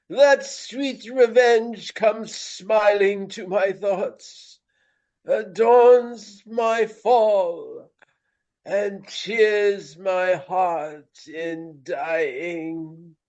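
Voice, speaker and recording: Slow, high, hammy Regency thespian. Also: male voice, darkish tone, dull audio, full character voice. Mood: melodramatic dying. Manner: grandiloquent, drawn-out, melodramatic delivery. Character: pompous Regency gentleman, a hammy amateur tragic actor. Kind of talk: declaimed theatrical radio play acting.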